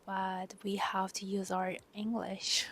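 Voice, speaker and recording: A young woman from Turkey, speaking English with a Turkish accent as a non-native speaker, talking in a personal vlog as an exchange student, softly and often half-whispered with many hesitant fillers. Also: medium pitch, little pitch movement, slow, slight background noise. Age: young adult.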